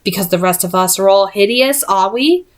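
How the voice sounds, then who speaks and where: A woman voicing a polite, thoughtful young male hunter in a storybook, measured and dryly witty. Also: mid-range voice, lightly acted. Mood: dry, amused. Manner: courteous, measured.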